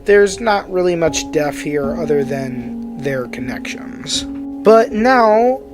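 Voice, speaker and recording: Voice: medium pitch, lively intonation, slow. Explanatory video commentator over background music. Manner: opinionated, explanatory, hedging with personal opinion. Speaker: video essay host. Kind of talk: scripted video commentary on matchups.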